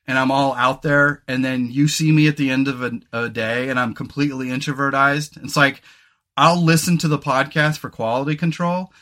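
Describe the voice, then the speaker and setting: A man's voice: fairly even intonation, moderate pace, a bit bright. A man hosting a podcast in casual chat, talkative, swearing casually and joking about himself. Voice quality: deep booming voice. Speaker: podcast host.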